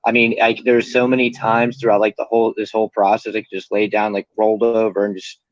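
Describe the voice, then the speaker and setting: A man's voice: fairly low pitch, flat delivery, brisk, no room sound, highs cut off. A young man in recovery, talking candidly and casually as an interview guest, rambling with lots of fillers and swearing freely. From Pennsylvania.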